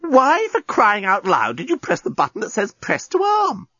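Sarcastic storybook heroine, played by a male reader: very high, husky, swooping, scolding and exasperated. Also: big pitch swings, moderate pace, fairly bright tone, no echo, dull audio, exaggerated voice. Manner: shrill, scolding, emphatic. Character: exasperated, sarcastic young woman, quick to yell. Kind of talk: storybook audiobook character voice.

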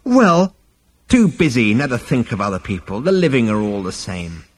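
A man voicing an ancient, scornful ghostly alien great-grandfather from a comic science fiction story, screechy and rasping, snappish and pompous. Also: mid-range voice, big pitch swings, in a large hall. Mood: snapping scorn. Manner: snappish, drawn-out, withering, pompous.

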